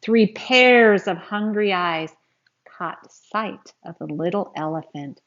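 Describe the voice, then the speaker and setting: A woman reading a picture book aloud to young children in an expressive, teacherly way, speaking to them directly as she goes. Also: medium pitch, slow, muffled recording. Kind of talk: read-aloud storytelling with asides to young listeners.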